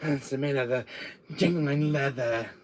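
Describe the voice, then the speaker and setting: A man voicing a flirty, clingy feminine villain alter ego in a campy, cooing, drawn-out way. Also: medium pitch, close to an everyday voice. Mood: flirty, preening.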